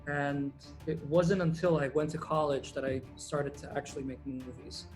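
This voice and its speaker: A man's voice: on the high side, moderate pace, over music. Laid-back man, filmmaker guest. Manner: relaxed, rambling, many ums and uhs. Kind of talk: casual interview answers.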